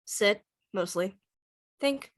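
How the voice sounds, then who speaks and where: A woman's voice: mid-range voice, drawn-out words. A woman reading an introspective, dryly witty teenage boy in conversational screenplay table read dialogue. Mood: dry, reflective.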